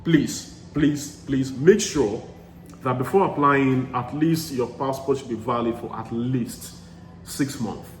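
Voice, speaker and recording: A man's voice: mid-range voice, slow pace. A man giving study-abroad advice in a casual talk, earnest and emphatic, repeating key phrases for stress. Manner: frequent restarts and fillers, earnest. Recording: echoey room with faint background noise.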